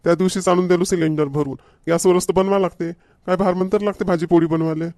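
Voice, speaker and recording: A man's voice: sits high, fairly even intonation, quick pace, a little mellow, no room sound, clean studio sound. A man playing a grumbling, demanding village husband in a comedy cartoon, with a Varhadi Marathi accent and a nagging, repetitive manner. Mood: annoyed, insistent. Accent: Varhadi Marathi from Vidarbha.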